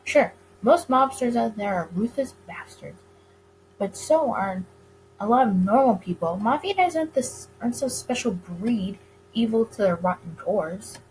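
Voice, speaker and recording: A woman reading a visual novel aloud as dramatic narration for a gaming video, with expressive delivery. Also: a little high, measured pace, slight background noise, rough recording.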